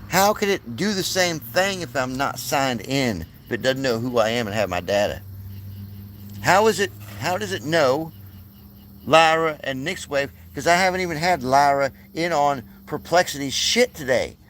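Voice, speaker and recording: A man hosting his channel, talking casually and profanely over his screen, rambling and excitable with many asides to viewers. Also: fairly high pitch, slight background noise. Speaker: channel host. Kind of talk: casual screen-share commentary.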